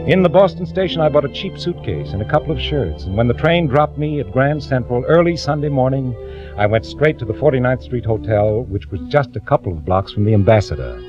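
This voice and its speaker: An actor playing a quietly scheming impostor in a 1940s radio drama, measured and confiding in his delivery. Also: medium pitch, expressive, natural voice. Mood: calm, calculating. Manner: measured, confiding first-person narration. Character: quietly scheming male clerk turned impostor, narrating his plot. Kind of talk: 1940s radio acting, scripted narration. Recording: muffled old radio, music behind.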